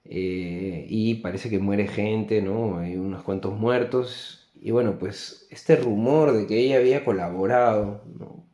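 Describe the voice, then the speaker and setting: A man with a Peruvian Spanish accent giving an unscripted book review in a reflective, halting way, often checking in with tag questions. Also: on the low side, mellow. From Peru. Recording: echoey, roomy sound.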